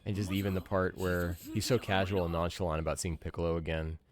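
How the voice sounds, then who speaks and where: A man's voice: low voice, flat delivery, quick pace, a crowd in the background. A man in his thirties giving casual, musing commentary as a game streamer, sharing a fan's opinions. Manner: musing, opinionated fan chat. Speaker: streamer, podcast host.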